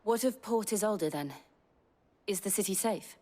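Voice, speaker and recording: An actress as an earnest, mellow-voiced female companion. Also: mid-range voice, unhurried, close to an everyday voice. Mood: concerned. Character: serious, concerned female companion. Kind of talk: scripted game cutscene acting.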